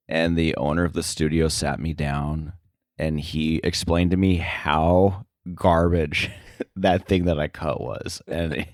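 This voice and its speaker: A man's voice: low-pitched, darkish tone, dry recording, clean studio sound. A man speaking casually as a podcast guest, a sound effects editor with a self-deprecating, chuckling way of talking. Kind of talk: casual interview conversation.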